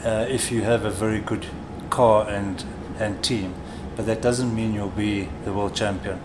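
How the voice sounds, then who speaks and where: Male, middle-aged, South African accent. Lowish pitch, measured executive interviewee, noticeable background hum. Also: steady tone. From South Africa, grew up in Durban. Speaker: interview guest, corporate chief executive. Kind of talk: conversational interview answers.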